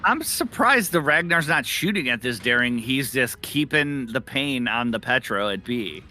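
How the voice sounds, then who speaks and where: A man's voice: medium pitch, lively intonation, normal speed, sharp tone, slight background noise. A man giving conversational live game commentary as an esports co-caster.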